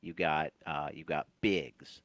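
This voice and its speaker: A man of about forty chatting casually as an interview guest, halting and often repeating his words. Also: extremely low pitch, fairly even intonation, a bit bright, dry recording. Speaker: interview guest, competitive player. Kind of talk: casual chat, recollecting.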